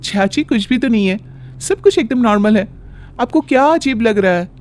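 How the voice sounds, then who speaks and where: A man's voice: very high voice, lively intonation, moderate pace, a little hiss or hum, voice pushed up. A man voicing a polite, plaintive young pregnant woman in a storybook tale. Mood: puzzled. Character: soft-spoken young pregnant woman in trouble. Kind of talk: acted storybook dialogue.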